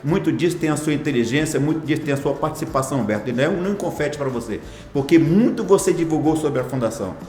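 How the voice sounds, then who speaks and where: A man's voice: on the high side, fast talker, a little mellow, roomy sound, soft background music. A man in his sixties, a hospital foundation director, answering interview questions in a formal, ceremonious way with long lists of phrases. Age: 60s.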